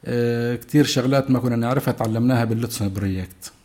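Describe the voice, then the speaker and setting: A man in his fifties whose first language is Arabic introduces himself as an integration guide, halting and searching for words. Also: mid-range voice, darkish tone. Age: 50s. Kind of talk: personal self-introduction.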